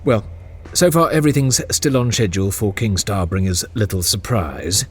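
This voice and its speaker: A man's voice: fairly low pitch, lively intonation, moderate pace, husky. An actor playing a witty male chamberlain who teases and laughs often. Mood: wry. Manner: teasing, wry, laughs often. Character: male chamberlain, witty courtier, playful and teasing. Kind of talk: audio drama acting.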